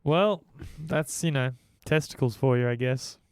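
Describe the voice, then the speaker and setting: Smooth-voiced laid-back man. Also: medium pitch, measured pace, no echo. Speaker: podcast guest. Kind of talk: casual chat.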